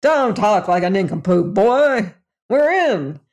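A woman reading aloud and voicing a brash, lecherous older male company boss in a loud, hearty, backslapping manner. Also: medium pitch, very animated, slow, muffled recording. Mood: persuasive.

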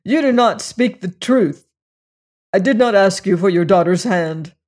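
An earnest, proud young gentleman who presses his case with sincerity and held-back anger, as the reader gives him voice. His pitch is middling and the delivery grave. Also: animated, unhurried, lightly acted. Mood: defiant. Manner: earnest, restrained, then defiant.

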